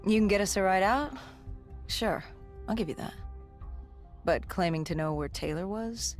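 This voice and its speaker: Someone acting a haunted game soldier telling old mission stories, grave n measured, music underneath. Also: mid-range voice, natural voice. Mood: brooding, suspicious. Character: augmented soldier recounting past missions, haunted and resolute. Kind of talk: dramatic game cutscene narration.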